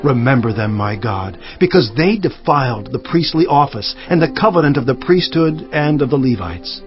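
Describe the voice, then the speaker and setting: A man playing a stern, devout governor of ancient Judah in a dramatized scripture audiobook, speaking solemnly with emphatic, measured phrasing. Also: mid-range voice, expressive, natural voice. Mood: prayerful. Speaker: audiobook narrator. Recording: slightly muffled, music underneath. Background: background music.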